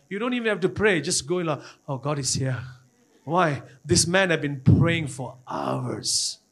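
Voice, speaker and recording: Male preacher, Malaysian accent, high-pitched voice, impassioned and exhorting sermon delivery with frequent repetition. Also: fairly bright tone. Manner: repetitive, exhorting, often addressing the congregation.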